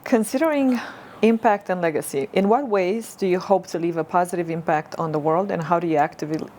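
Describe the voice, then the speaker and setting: A woman with a Romanian accent hosts the interview, asking questions in a fairly low voice. She sounds encouraging and engaged as she leads the talk. A faint hiss runs underneath. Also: brisk, a little mellow. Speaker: podcast host.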